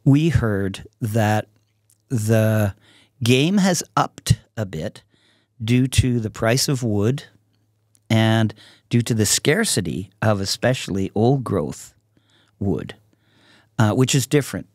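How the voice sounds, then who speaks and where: A man in his sixties speaking casually and reflectively as a podcast guest, in a halting, thoughtful way. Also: lowish voice, lively intonation, a little mellow, dry recording, clean studio sound. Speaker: podcast guest, artist and songwriter. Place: small podcast studio with curtains. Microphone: close podcast microphone on a stand.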